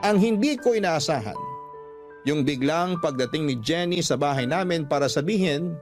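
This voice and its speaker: A sorrowful male storyteller played by a man narrates a scripted first-person radio drama. His voice sits a little high, with music clearly behind it. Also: animated, normal speed, lightly acted. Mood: wistful, sorrowful.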